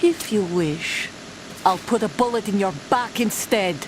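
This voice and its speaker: An actress playing a bitter, defiant young Russian mercenary commander in a video game, curt and threatening. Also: female voice, medium pitch, a little slow, background noise, lightly acted. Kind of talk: video game cutscene acting.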